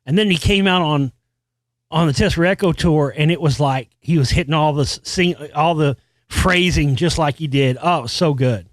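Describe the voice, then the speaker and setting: A man's voice: normal speed, no room sound. A man hosting a podcast alone, talking in a loose, rambling way with restarts and casual swearing. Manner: experienced singer with a high singing voice. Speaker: podcast host. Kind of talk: casual solo monologue about music.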